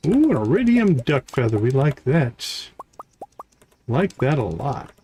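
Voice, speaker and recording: A man's voice: medium pitch, sing-song, unhurried, warm tone, a little hiss or hum. A man giving casual live gameplay commentary as a streamer, thinking aloud to himself in short fragments. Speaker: game streamer.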